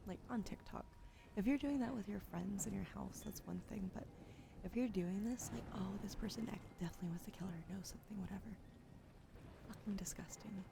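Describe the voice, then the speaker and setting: A woman whispers close to the mic in a relaxed, playful ASMR style, her voice carrying a gravelly, fry-tinged texture. She still has a slight cough from a recent illness. A steady hiss sits under the home-stream audio. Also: medium pitch, brisk. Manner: soft whispering, chatty and playful. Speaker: livestreamer.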